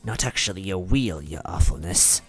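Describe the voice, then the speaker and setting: A man's voice: mid-range voice, fairly quick. Storybook royal attendant, female, played by a male narrator: wrinkled, stern and toneless, politely correcting, with music behind. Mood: hushed, correcting. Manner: deadpan, formally deferential yet scolding.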